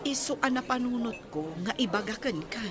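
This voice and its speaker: A frank, concerned young friend in a radio drama. Her high voice rises and falls in a lively way. A busy crowd can be heard behind her. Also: a little fast. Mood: concerned, probing. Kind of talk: Filipino radio drama acting.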